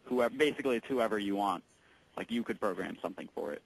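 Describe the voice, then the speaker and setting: Male store tech, lower register, casual phone explanations, patient, halting, informal delivery. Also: vocal fry, breathy edge, rough recording. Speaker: electronics store tech support employee taking a call.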